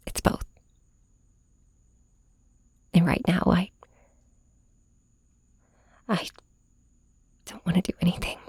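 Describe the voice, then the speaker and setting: A woman playing a weary, heartbroken hero confiding in her nemesis, hesitant and soft-spoken in an intimate ASMR audio drama. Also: fairly low pitch, no echo, studio quality, heavily acted. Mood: dejected, weary. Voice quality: breathy, whispery.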